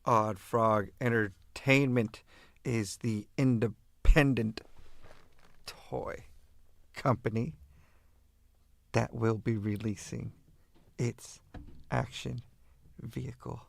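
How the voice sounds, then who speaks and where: A man from East Los Angeles with an American accent, hosting a casual radio show as disc jockey in drawn-out, halting phrases. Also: medium pitch, steady tone, creaky.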